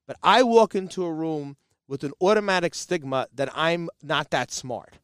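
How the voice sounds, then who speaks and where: Podcast co-host, male, Jersey City accent, fired up and indignant, slightly raised pitch, dry close sound. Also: normal speed, a bit bright, smooth, no echo. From New Jersey. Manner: heated, indignant, stammering restarts. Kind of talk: casual discussion.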